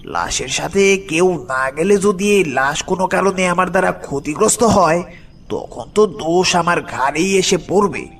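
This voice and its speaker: A man playing an older village boatman, frightened and hesitant, slowly telling a dramatic horror story with a creaky voice. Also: high-pitched, fairly bright tone, exaggerated voice. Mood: haunted, tense. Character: frightened village boatman uncle recounting his haunted past. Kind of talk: horror cartoon story narration. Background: music.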